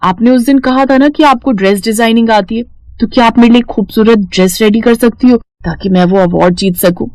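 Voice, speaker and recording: A woman's voice: a little high, brisk, a little mellow, no echo, close to an everyday voice. Woman voicing an eager, gushing young college girl. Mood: earnest. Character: excitable, grateful young college girl, sister-in-law. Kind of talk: animated storybook cartoon dialogue.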